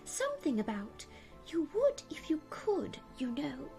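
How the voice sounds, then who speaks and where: A woman voicing a tiny, sad insect with a very small, high voice in a storybook reading. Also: wildly swooping pitch, unhurried, creaky, warm tone, faint music behind, full character voice. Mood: teasing.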